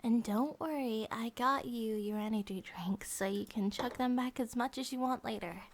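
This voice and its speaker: A woman voicing a gentle, affectionate monster-girl bear wife in a soft ASMR comfort roleplay, often dropping to a whisper. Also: fairly high pitch, normal speed. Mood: tender, caring.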